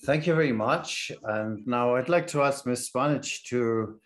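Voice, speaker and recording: A man's voice: mid-range voice, a little slow. Guy moderating a video panel, formal, bit hesitant, mid voice. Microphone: video call.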